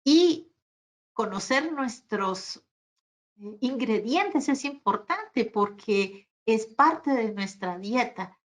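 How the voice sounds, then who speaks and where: A woman with a Guatemalan Spanish accent answers questions as a guest speaker, reflective and halting, often seeking agreement at the end of phrases. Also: on the high side, animated. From Guatemala City, Guatemala. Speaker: guest speaker, archaeologist and gastronomy professional.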